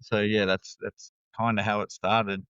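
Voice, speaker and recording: A man's voice: fairly low pitch, level tone, unhurried, fairly bright tone, clean tone, no echo, highs cut off. A man with an Australian accent, a guest talking candidly in a casual interview, rambling with fillers and restarts.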